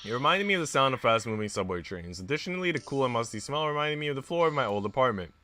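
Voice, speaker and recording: A man's voice: mid-range voice, animated, racing, pushed and bright, faint music behind. A man live streaming in an informal, slangy way, moving between casual chat and reading aloud.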